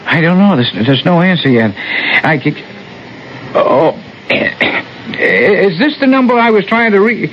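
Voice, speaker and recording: A man's voice: high-pitched, expressive, unhurried. An actor playing a meek, bumbling male ghost in a radio drama, hesitant and deferential, with a stammer. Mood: nervous, deferential. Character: meek, bumbling, apologetic male ghost named Peter Pruitt. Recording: muffled, noisy 1970s radio recording.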